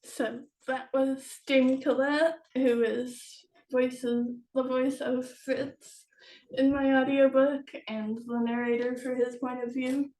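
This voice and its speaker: A woman chatting casually as a host and author, speaking in halting, broken phrases with pauses. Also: high voice, level tone, darkish tone. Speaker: host, author of historical novels. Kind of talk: casual chat about writing. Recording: roomy echo, distant from the mic.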